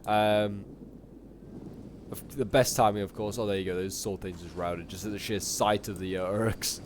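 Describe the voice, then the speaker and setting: A man with a bit of a cold, chatting casually through strategy-game commentary, rambling along and then bursting out excitedly at big moments. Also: on the low side, moderate pace, background noise. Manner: chatty, with sudden excited outbursts at big plays. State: sniffly, coming down with a cold.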